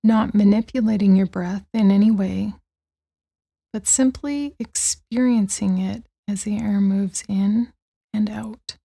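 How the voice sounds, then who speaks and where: A woman guides a meditation with a soft, mellow tone and a creaky edge. She speaks gently and very slowly, letting long pauses settle between her soothing phrases. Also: medium pitch, steady tone. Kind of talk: guided body-scan meditation.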